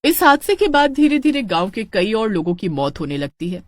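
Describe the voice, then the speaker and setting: A woman narrates a scripted cartoon story. Her pitch is lively and varied, and the recording is close and dry. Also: normal speed, dry recording.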